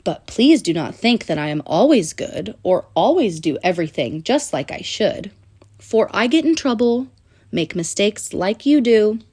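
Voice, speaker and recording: Female children's book narrator, mid-pitched and very lively, sing-song rhyming read-aloud with deliberate pauses. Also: breathy edge.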